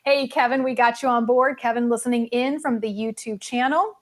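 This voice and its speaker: A woman's voice: fairly high pitch, steady tone, normal speed. A woman hosting a casual livestream, chatting informally and speaking directly to her viewers. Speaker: show host.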